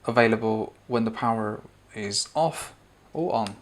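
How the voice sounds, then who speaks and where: A man's voice: lowish voice, measured pace. A man giving a conversational, explanatory spoken product review and demonstration.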